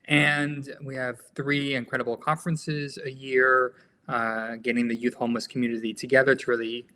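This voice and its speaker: An American man speaks as a podcast guest in a clear, bright voice. He answers in a measured, thoughtful way and often stops on an um or an uh. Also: mid-range voice, level tone. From United States. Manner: measured, with frequent um and uh pauses. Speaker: nonprofit founder, guest. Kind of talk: conversational interview answers.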